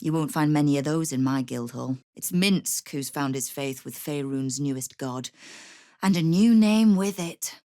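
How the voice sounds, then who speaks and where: A woman's voice: low voice, a little slow, warm tone, dry recording. An actress playing a shrewd, hard-edged female crime guild boss in a video game, with a dry, wry manner and veiled threats. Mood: sardonic. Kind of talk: scripted video game voice acting.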